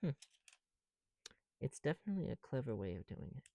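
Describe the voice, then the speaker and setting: Soft-toned man musing aloud, dry close mic. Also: medium pitch, very animated, a little fast, vocal fry, no room sound, highs cut off. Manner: talking to self, musing aloud. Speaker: ASMR video creator. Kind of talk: ASMR, casual muttered commentary while building.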